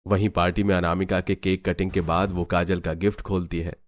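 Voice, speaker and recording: Low-voiced male cartoon story narrator. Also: flat delivery, fairly quick, a little hiss or hum.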